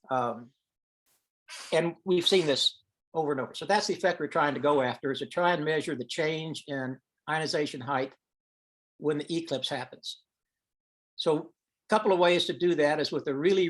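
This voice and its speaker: A man's voice: fairly high pitch, fairly bright tone, rough recording. A man giving an informal technical presentation on a teleconference, explaining step by step and sometimes thinking aloud. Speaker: presenter at an amateur radio science teleconference. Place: online teleconference call.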